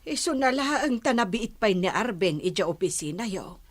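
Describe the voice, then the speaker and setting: A woman's voice: medium pitch, big pitch swings, moderate pace, no echo. A woman with an Ilocano accent playing a concerned, cautioning mother in a radio drama. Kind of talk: Philippine radio drama acting. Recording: music under the voice.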